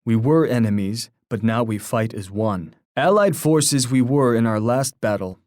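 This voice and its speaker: An actor playing a proud, poetic Native war chief in a video game, speaking in a formal, grandiose, oratorical manner. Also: male voice, mid-range voice, a little slow, a little mellow, no room sound, clean studio sound, close to an everyday voice. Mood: proud, dramatic. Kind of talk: scripted video game voice lines.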